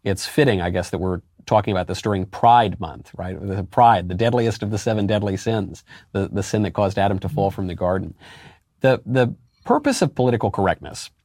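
Man, deep low voice, emphatic rhetorical interview guest, dry close sound. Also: brisk, darkish tone, no echo. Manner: emphatic, rhetorical, with sweeping pitch jumps on key words. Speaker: interview guest, conservative commentator. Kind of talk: political interview.

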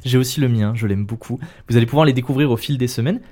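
A man's voice: medium pitch, quick pace, dark tone. A man co-hosting a casual podcast, enthusiastically thanking supporters and collaborators. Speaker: podcast co-host.

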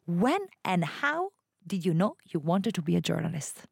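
A young adult woman interviews a guest, pausing often and filling gaps with "uh" and "you know". Her voice is a little lower than most women's. The recording is dry and close. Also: big pitch swings, creaky, darkish tone, slightly rough, no room sound. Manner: hesitant, many fillers, searching for words. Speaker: podcast host, interviewer. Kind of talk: interview questions.

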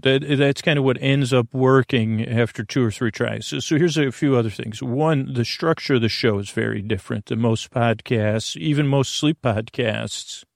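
A man with a creaky voice hosting a sleep podcast, meandering through halting, self-deprecating tangents. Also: mid-range voice, dry recording, studio quality. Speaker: podcast host. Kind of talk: rambling bedtime sleep podcast monologue.

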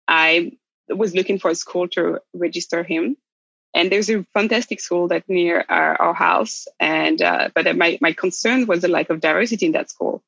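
A woman, a podcast guest thoughtfully telling her life story, pausing and restarting her sentences. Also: fairly low pitch, vocal fry, a bit bright, dry recording, lo-fi sound. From Republic of the Congo, raised in France. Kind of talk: reflective personal storytelling.

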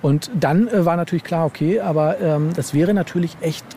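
A man with a fairly high, mellow voice chats as an author in an interview. He repeats words and often adds little tag questions as he tells anecdotes. There is clear ambient noise behind him. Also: a little fast. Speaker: interview guest, novelist. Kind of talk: casual conversational interview, telling anecdotes.

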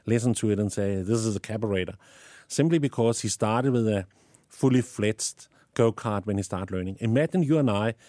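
A man's voice: fairly low pitch, dark tone, no room sound, clean studio sound. A man from Denmark with a Danish accent, answering interview questions about teaching, often repeating words as he thinks. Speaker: guest, STEM educator.

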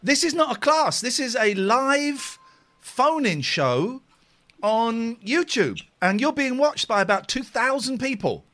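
A man's voice: very high-pitched, lively intonation, slow pace, a bit bright. A middle-aged man with an English accent, chatting casually as host of a live phone-in stream. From England. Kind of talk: casual live chat with callers.

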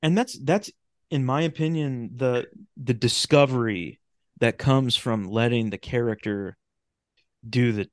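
A man's voice: mid-range voice, a little slow, no room sound, clean studio sound. A man talking casually as an interview guest, thoughtful and searching for his words. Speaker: podcast guest, writer and radio drama creator.

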